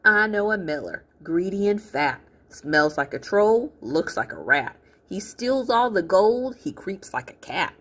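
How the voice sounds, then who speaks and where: A woman's voice: medium pitch, very animated, a little slow, few pauses, a bit bright, husky, heavily acted. A woman voicing an earnest, boastful young boy from a storybook, speaking in an eager, emphatic way. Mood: mischievous. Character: earnest, boastful young boy dictating messages to his friend.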